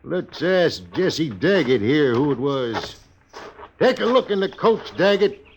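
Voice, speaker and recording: Angry frontier stage driver, higher-pitched. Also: male voice, very animated, slow, exaggerated voice. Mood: bitter, accusing. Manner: blunt, insistent, folksy frontier speech. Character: angry, accusing wounded stagecoach driver of the Old West. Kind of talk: 1950s radio western acting. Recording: dull, noisy old radio recording.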